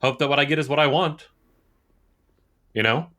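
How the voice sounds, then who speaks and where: A man's voice: on the high side, normal speed, bright, forceful tone. Emphatic, opinionated male podcast co-host. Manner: opinionated, emphatic, repeats points for stress. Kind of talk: casual podcast chat.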